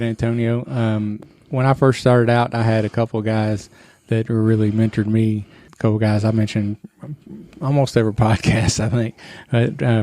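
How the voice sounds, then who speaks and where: A man hosting a podcast, talking in a relaxed, encouraging way. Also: fairly low pitch, no room sound. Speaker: podcast host. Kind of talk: casual interview talk.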